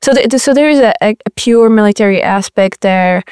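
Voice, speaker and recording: A woman's voice: medium pitch, brisk, a little mellow, clean tone, dry recording, studio quality. A woman from Morocco, a research fellow giving careful, explanatory interview answers.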